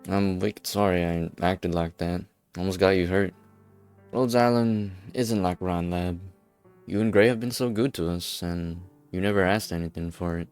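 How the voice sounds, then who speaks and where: A man playing an earnest, kindly young fellow in an English fan dub, folksy and gentle in manner. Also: sits low, fairly even intonation, normal speed, smooth, faint music behind. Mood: apologetic.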